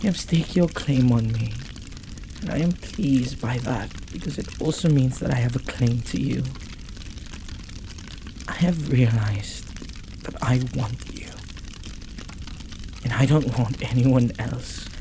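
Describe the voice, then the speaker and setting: A man voicing a possessive, devoted demon lover in an intimate audio roleplay, husky and breathy, often dropping toward a whisper. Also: mid-range voice, mellow, slight background noise, rough recording. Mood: possessive, earnest. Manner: slow, deliberate, dramatic pauses. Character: possessive lovestruck male demon, jealous, intense, devoted.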